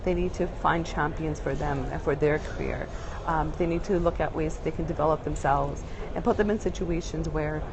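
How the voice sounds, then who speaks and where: A woman's voice: low-pitched, fairly even intonation, moderate pace, hardly any pauses. A woman speaking casually and thoughtfully about workplace inclusion, with many fillers and restarts, recorded at an airport. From Canada. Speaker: diversity speaker. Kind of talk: casual spoken answer. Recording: noisy airport background. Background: airport announcements and bustle.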